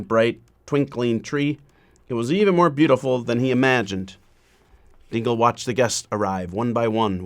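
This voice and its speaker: Man reading a storybook aloud, measured. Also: mid-range voice. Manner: measured, deliberate phrasing with frequent pauses.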